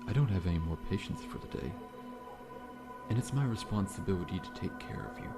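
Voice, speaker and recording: A man playing a massive, friendly but faintly menacing scorpion-man dentist in an ASMR roleplay, speaking in a gentle, reassuring, politely formal way. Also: sits low, lively intonation, fairly quick. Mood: soothing, friendly. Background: background music.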